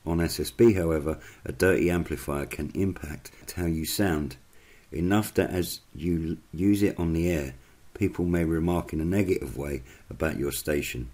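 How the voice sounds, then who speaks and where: A man reading a scripted explainer narration in a measured, instructional way. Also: rumbling low, a little mellow.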